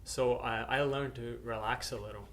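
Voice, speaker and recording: Middle-aged male missionary from Norway, plain mid pitch, halting testimony with fillers; faint background noise. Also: fairly even intonation, fairly bright tone. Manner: hesitant, many uhs and restarts. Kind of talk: personal testimony to camera.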